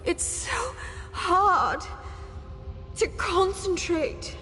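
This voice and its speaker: An actress playing a frightened, dying woman in a video game, pleading and halting in a dramatic style. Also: very high voice, lively intonation, pushed and bright, a little hiss or hum, heavily acted. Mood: strained, fading. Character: frightened dying woman trapped in a failing tower. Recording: long cavernous reverb, music underneath. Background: game music.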